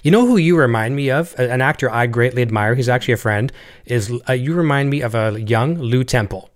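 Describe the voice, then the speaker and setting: A man's voice: medium pitch, fairly quick. A Canadian man hosting an interview, chatty and eager, reading out viewer messages. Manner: chatty, enthusiastic, reads viewer comments. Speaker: show host.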